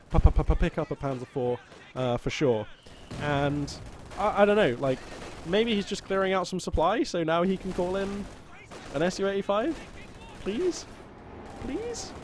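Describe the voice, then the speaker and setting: Caster: British man, fairly high voice, excitable and rambling, with loud game gunfire and artillery under him. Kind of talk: live strategy game commentary. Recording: loud game battle sounds behind. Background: gunfire, artillery and vehicle noise from the game.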